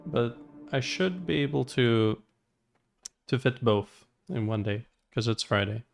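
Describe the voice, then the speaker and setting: Creaky-voiced man streaming a game. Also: mid-range voice, expressive, unhurried. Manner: chatty, thinking aloud. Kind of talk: casual live gameplay commentary.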